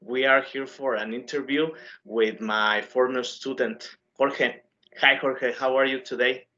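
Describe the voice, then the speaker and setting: A man, an English teacher, interviewing his former student in a formal, halting way with frequent pauses. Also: medium pitch, fairly even intonation, bright, forceful tone, lo-fi sound. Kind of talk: hosting an interview.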